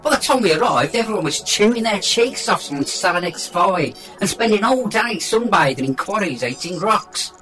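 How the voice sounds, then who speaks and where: A woman's voice: mid-range voice, expressive, moderate pace, hardly any pauses, a bit bright, natural voice, low-quality recording. A woman playing a dryly chatty, understated retired robot in an audio comedy drama. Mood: matter-of-fact. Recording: music behind the voice.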